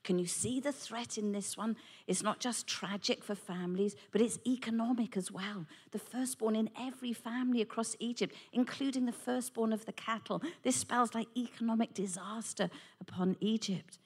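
A woman with a husky voice, telling a Bible story in a dramatic, unhurried way as the regular host of a series. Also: on the high side, darkish tone. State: husky this morning.

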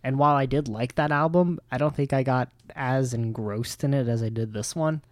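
A man's voice: mid-range voice, normal speed, no echo, studio quality. A man with a somewhat nasal voice, co-hosting a podcast and giving his thoughts on an album between lots of fillers. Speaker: podcast co-host. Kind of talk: casual album review chat.

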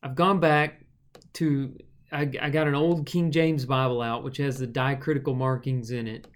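A man of middle pitch leads a conversational Bible study on a podcast. He explains each point patiently and informally. His talk is loose and full of small fillers. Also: normal speed. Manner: relaxed and explanatory, with frequent fillers.

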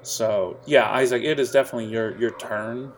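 A man's voice: medium pitch, steady tone, moderate pace, a little hiss or hum. A young man narrating a tabletop game as game master, rambling and jokey, with many fillers.

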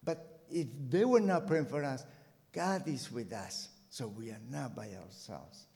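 A man's voice: mid-range voice, lively intonation, a little slow, gravelly, dark tone. A man with a Colombian accent, a native Spanish speaker, preaching emphatically as a missionary to a church and repeating phrases for effect. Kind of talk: sermon to a church congregation. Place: church hall with long reverb. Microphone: microphone. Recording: reverberant hall.

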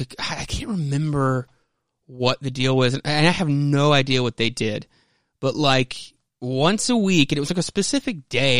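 A man gives casual podcast commentary in a middle-pitched voice. He rambles, hesitates and interrupts himself, with loose, profane phrasing. The recording is dry, with hardly any room sound. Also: a bit bright, no echo. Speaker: podcast host.

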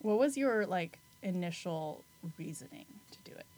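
A young woman co-hosting a podcast, chatting casually with quick lively asides. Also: lowish voice. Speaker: podcast co-host. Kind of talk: casual chat.

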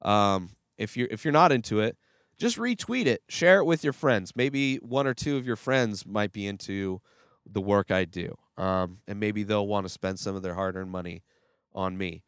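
Man, stuffy nose, nasal, rambling casual movie talk, smooth clear voice, dry close sound. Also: on the low side, lively intonation, normal speed, a bit bright, no echo. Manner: rambling, profane, with many fillers and restarts. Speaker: movie review podcast host. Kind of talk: casual movie review podcast.

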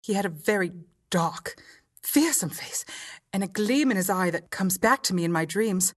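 A woman's voice: mid-range voice, lively intonation, normal speed, natural voice. A woman voicing a timid but shrewd young lady earnestly recounting what she saw. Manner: earnest, confiding.

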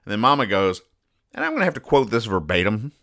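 Bright-voiced deadpan man hosting a comedy podcast. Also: on the low side, fast. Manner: deadpan, over-explaining. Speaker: podcast host. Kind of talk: mock-serious explaining of comic strips.

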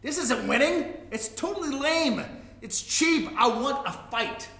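An actor playing a theatrical, needy, taunting evil-genius spy in a live comic play reading, bombastic and petulant. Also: male voice, extremely high pitch, lively intonation, unhurried, vocal fry, bright, forceful tone, heavily acted. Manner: bombastic, petulant, gleefully menacing. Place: live performance in a room. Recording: roomy live recording with background noise.

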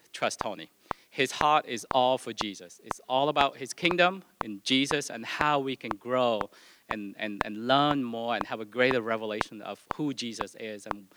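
Halting, earnest male pastor, noisy recording. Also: mid-range voice. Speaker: host pastor introducing a guest. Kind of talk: informal church introduction and spoken prayer. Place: church service, addressing congregation.

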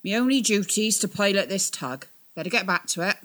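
Old salt captain, rustic talk, raised and scolding, animated delivery, played by a woman reader. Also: medium pitch, fairly quick, pitched above the performer's own voice, natural voice. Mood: firm. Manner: rustic dialect speech, loud and scolding. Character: old male tug-boat captain, blustering sailor.

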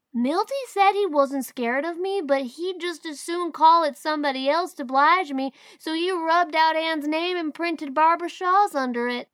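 A woman's voice: high-pitched, fairly bright tone, no echo, clean studio sound, pitched above the performer's own voice. A woman voicing a chatty, eager six-year-old boy. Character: boastful lively small boy, about six.

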